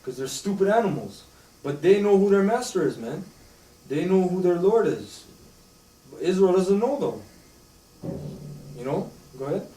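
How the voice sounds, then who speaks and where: An emphatic man holds forth in a group discussion, ending almost every line with "man". His voice sits a little high and dark. He sounds distant in a roomy space with faint noise behind. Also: big pitch swings, normal speed, long pauses. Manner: emphatic, repeats "man" often. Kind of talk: casual religious discussion. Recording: echoey room from far off with faint noise.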